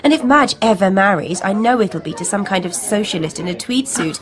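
An actress playing an earnest, eager, kind-hearted teenage daughter in a period radio drama. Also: female voice, medium pitch, expressive, brisk, lightly acted.

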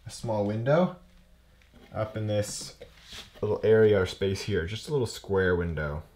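A young man giving a casual hands-on demonstration as an instructor, thinking aloud in halting phrases as he works. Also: fairly low pitch, a little mellow. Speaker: woodcarving instructor. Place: indoor workshop.

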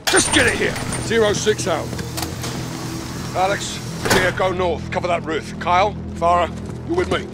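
An actor playing a decisive veteran British special forces captain giving clipped, authoritative orders in a video game. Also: male voice, sits high, moderate pace, heavy background noise, lightly acted. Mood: urgent command. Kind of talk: video game military drama.

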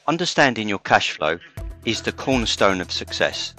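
A man with a very low, forceful voice reads a scripted podcast opening with upbeat promotional energy. Faint music plays underneath him. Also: sing-song, normal speed. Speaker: podcast host. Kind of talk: scripted intro read.

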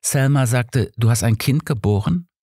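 A man's voice: medium pitch, moderate pace, warm tone, slightly rough, no echo, studio quality, voice pushed up. A man voicing a caring, direct woman in an audiobook, earnest and coaxing. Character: caring, direct female helper.

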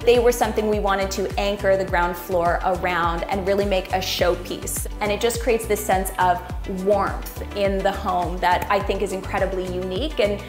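A woman's voice: medium pitch, fairly even intonation, normal speed, few pauses. Woman in a roomy space, music underneath. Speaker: homeowner giving a house tour. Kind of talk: interview-style narration to camera. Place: indoors, in a home kitchen. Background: background music.

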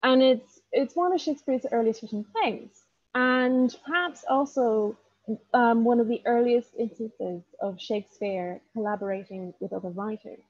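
A woman's voice: medium pitch, no room sound, lo-fi sound. A young woman giving a chatty, hesitant introductory talk as a guest lecturer, with many ums and restarts. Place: dim room at home, lamp behind. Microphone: webcam on video call.

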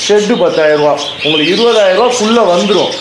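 A man's voice: high-pitched, fast talker. A man who runs a bird farm, with a Tamil Nadu accent, talking viewers through his costs one by one in a casual how-to way. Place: bird farm, amid birds. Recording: echoey, loud background noise. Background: birds chirping.

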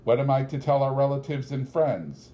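A man's voice: medium pitch, lively intonation, moderate pace, warm tone, close to an everyday voice. A man voicing an enormously fat, genteel father who speaks in ornate, formal, mildly disapproving sentences in an audiobook. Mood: reproachful.

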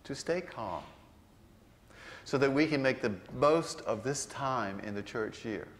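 An older man, a priest, delivering a sermon in a large church, slowing down to stress key phrases. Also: mid-range voice, expressive. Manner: unhurried, earnest preaching with slowed emphatic phrases.

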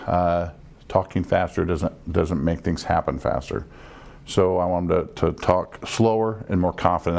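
Low, creaky middle-aged man. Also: darkish tone. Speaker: air traffic controller. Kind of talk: panel discussion, explanatory talk. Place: small meeting room, around a conference table.

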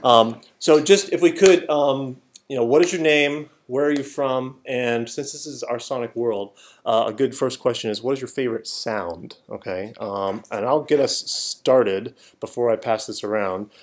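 Thirties male professor, relaxed classroom talk, animated, lots of restarts. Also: medium pitch, normal speed. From Florida. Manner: animated, gesturing, rambling restarts. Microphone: laptop webcam.

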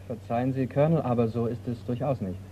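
An actor playing a cheeky, unflustered young insurance detective in a German radio crime drama. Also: male voice, medium pitch, steady tone, fast, dark tone, husky, close to an everyday voice. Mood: cool, firm. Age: young adult. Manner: dry, unflustered. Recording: tinny, narrow-band old radio recording, noisy.

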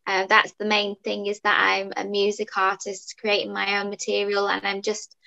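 A woman's voice: medium pitch, completely flat delivery, normal speed, creaky, sharp tone. A woman in her thirties, a singer, chatting upbeat with fillers as a guest over a video call. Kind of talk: casual interview chat. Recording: dull lo-fi video call audio.

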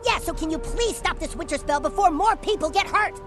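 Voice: very high-pitched, expressive, brisk. A voice actor playing an earnest cartoon boy who confronts a friend, over game music. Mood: accusing. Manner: accusing, earnest.

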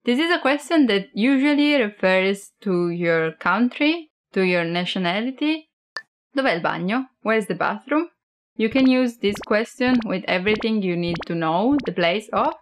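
A woman's voice: mid-range voice, lively intonation, moderate pace, dry recording. A young woman from Italy with an Italian accent, giving a chatty, playful on-camera language lesson. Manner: chatty, playful, switches between English and Italian example sentences. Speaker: language teacher. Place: small indoor room, plain wall or flag backdrop. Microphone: camera framed head and shoulders.

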